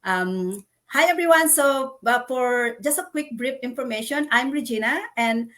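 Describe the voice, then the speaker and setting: A woman born in the Philippines hosts a casual online chat over a video call. Her voice sits a little high, and she talks earnestly, pausing often with fillers as she gathers her words. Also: a bit bright. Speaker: host.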